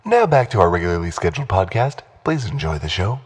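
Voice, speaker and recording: Man doing deep-voiced fake announcer, formal, slightly husky. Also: sing-song, fast talker, full character voice. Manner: fake apologetic station announcement. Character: mock male radio announcer, smooth and formal.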